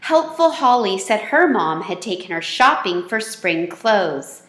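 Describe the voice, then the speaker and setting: A woman's voice: medium pitch, sing-song, measured pace, fairly bright tone. A woman reading a children's storybook aloud as the storyteller. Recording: echoey, roomy sound.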